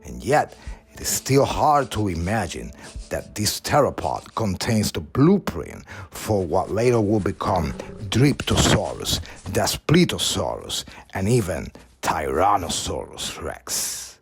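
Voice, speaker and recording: A man narrates a nature documentary in a low voice. His pitch moves in a lively way through the scripted lines, and music plays clearly behind him. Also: takes it slowly.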